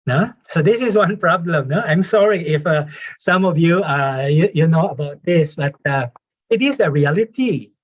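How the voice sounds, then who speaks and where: A man speaks as a professor and journal editor on an online panel, telling stories in a fairly high voice. He fills gaps with "uh" and checks in with tag questions. The call audio sounds dull and lo-fi. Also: animated, normal speed. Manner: anecdotal, with many fillers and tag questions. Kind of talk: webinar panel remarks.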